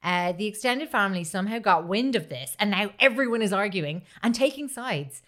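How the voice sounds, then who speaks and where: Woman hosting a chatty podcast, reading out a story, swears a bit, does the quoted bits, normal pitch. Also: animated, a little fast, a bit bright. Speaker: podcast host. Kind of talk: casual chat, reading a story aloud.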